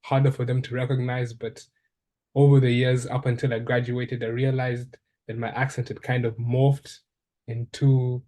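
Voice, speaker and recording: Young Ugandan-born man, flat and mellow. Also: mid-range voice, normal speed. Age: young adult. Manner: thoughtful, with frequent ums and restarts. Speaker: interviewee, undergraduate student. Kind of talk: reflective casual conversation.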